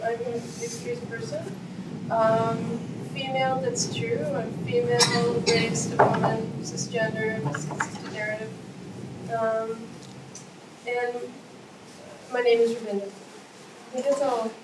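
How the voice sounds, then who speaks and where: A woman's voice: a little high, level tone, unhurried. Woman in her thirties sharing casually. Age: 30s. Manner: chatty, self-deprecating, fillers. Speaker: workshop participant. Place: conference workshop room. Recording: echoey room, distant mic, noisy.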